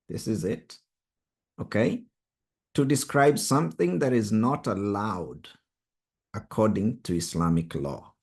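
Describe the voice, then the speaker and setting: A Swahili-speaking man leads a study group over a video call, his voice sitting fairly low. He talks very slowly and deliberately, with an earnest, emphatic tone as he teaches. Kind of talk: online Bible study teaching.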